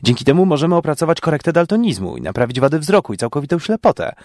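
A man's voice: on the high side, very animated, very fast, darkish tone, no echo, clean studio sound, pitched above the performer's own voice. A man voicing an irritable, arrogant amateur scientist in an audio story, impatient and lecturing. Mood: excited lecturing.

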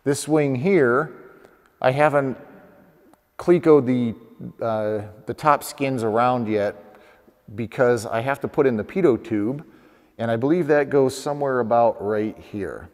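A man's voice: medium pitch, darkish tone. A middle-aged man narrating his kit airplane build in a home workshop, explaining each step plainly and practically.

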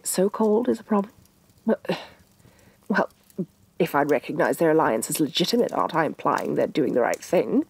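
Woman playing a slow, soft-spoken domineering evil queen. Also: fairly low pitch, dry recording, exaggerated voice. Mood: exasperated disdain. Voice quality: soft, breathy. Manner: imperious, deliberate, disdainful, sighing with exasperation. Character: domineering, haughty evil fantasy queen, scheming and menacing. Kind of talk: soft-spoken ASMR roleplay.

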